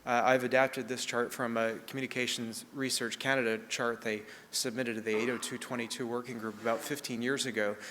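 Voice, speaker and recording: A man with a New Zealand accent giving a brisk technical conference talk, with frequent "uh" fillers. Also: medium pitch, level tone, pushed and bright. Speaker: conference speaker on satellite access. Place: large conference hall. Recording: reverberant hall, faint ambient noise.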